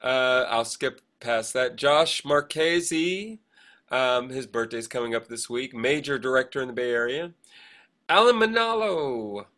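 A man co-hosting a podcast, chatting casually and rambling through shout-outs with hesitant, digressive asides. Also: medium pitch, a little slow. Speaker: podcast co-host.

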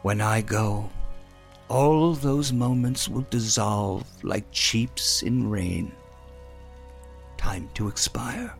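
A weary, dying robot, voiced by a man, speaks slowly with a gravelly creak. He is solemn and poetic in radio-play style, with music and rain behind. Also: on the low side, full character voice. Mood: solemn, resigned. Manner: solemn, deliberate. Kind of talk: comedic radio play acting, slow monologue.

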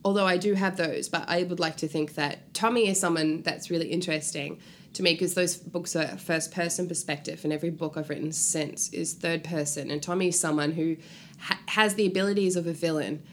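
A woman's voice: fairly low pitch, brisk, a little hiss or hum. A woman speaking casually and informally as a guest author in an interview. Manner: animated, informal, frequent "like" and swearing.

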